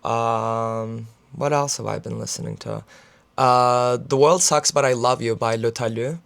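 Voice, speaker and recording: A young man in his twenties, a student, chatting casually to camera in a rambling vlog, full of fillers, his voice raspy right now. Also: mid-range voice, fairly even intonation, a little slow, a bit bright. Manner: filler-heavy, talks with his hands. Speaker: student vlogger.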